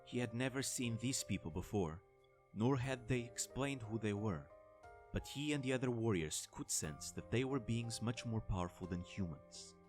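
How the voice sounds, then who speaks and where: A man's voice: medium pitch, lively intonation, normal speed, a little mellow, faint music behind. A man narrating a scripted fantasy war story as the narrator of an audio drama.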